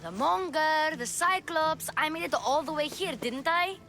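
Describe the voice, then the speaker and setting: Plucky, high-voiced little girl. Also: animated, measured pace, pushed and bright, exaggerated voice. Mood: eager, insistent. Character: plucky, eager, chatty young orphan girl. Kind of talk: video game cutscene acting. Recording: music behind the dialogue.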